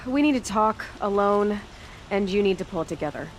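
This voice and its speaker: An actress playing a blunt, determined young outcast huntress in a video game, speaking tersely and matter-of-factly. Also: female voice, mid-range voice, animated, brisk, a little hiss or hum, natural voice. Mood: urgent, blunt. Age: young adult. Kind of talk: naturalistic video game acting.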